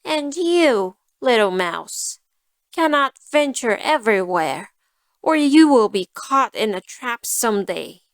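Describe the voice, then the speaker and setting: A woman's voice: a little high, big pitch swings, takes it slowly, no echo, studio quality, exaggerated voice. A woman voicing a sly, self-serving female cat in a storybook, with a cool, persuasive manner.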